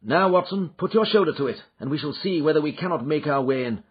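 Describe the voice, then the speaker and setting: Man voicing a brisk commanding detective, raised lively pitch, loud, husky, dull lo-fi audiobook. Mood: urgent. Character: shrewd, energetic male detective.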